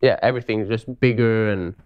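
A man from Switzerland, a guest telling stories in a relaxed, easygoing way on a casual podcast interview. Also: fairly low pitch, brisk, clear, resonant tone, muffled recording. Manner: relaxed, easygoing storytelling with frequent "you know". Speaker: podcast guest, live show dancer.

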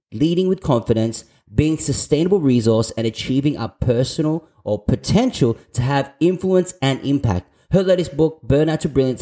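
A man's voice: mid-range voice, warm tone. A man from Australia hosting a podcast interview, enthusiastic and prone to restarting his sentences. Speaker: podcast host.

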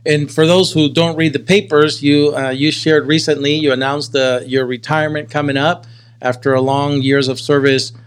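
A man's voice: a little high, flat delivery, clean tone. A man hosting a podcast, interviewing casually and conversationally, often repeating words as he forms his questions. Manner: halting, repeats words while forming questions. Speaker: podcast host.

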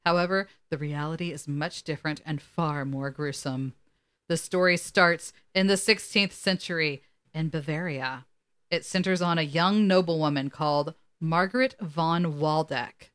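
A woman's voice: deep voice, moderate pace, clear, resonant tone. A woman co-hosting a casual podcast, reading articles aloud and dropping in wry asides. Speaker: podcast co-host.

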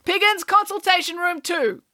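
A woman's voice: sits high, sing-song, normal speed, a bit bright, no room sound, studio quality, pitched above the performer's own voice. Woman doing a bored officious doctor. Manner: bored, lecturing.

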